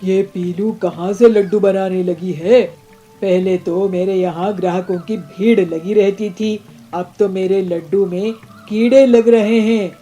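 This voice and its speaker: A jealous, greedy bird villain from a children's cartoon, played by a woman. Delivery is slow, drawn-out and menacing in a mellow mid-pitched voice. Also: steady tone, close to an everyday voice. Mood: jealous, scheming.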